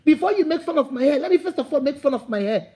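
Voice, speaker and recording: A woman's voice: high voice, lively intonation, fast, mellow. A woman with a Nigerian accent giving an impassioned motivational talk, repeating her points and building to emphatic calls. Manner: preachy, repetitive, rising to emphatic calls. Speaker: motivational speaker.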